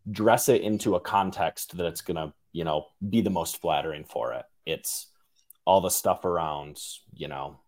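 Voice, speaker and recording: A man with a low voice hosts an informal interview. His phrasing is loose and wandering, as in relaxed conversation between colleagues. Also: moderate pace. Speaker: interview host. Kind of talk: casual interview chat.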